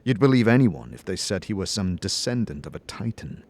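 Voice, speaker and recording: A man's voice: sits low, sing-song, a little fast, darkish tone. A man narrating a fantasy story dramatically in the second person, as a game master.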